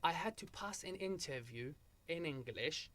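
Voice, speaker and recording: A man's voice: on the high side, animated, unhurried, a bit bright. A young man from Algeria, a guest in a casual interview, telling his story with frequent restarts and a repeated "so what happened?".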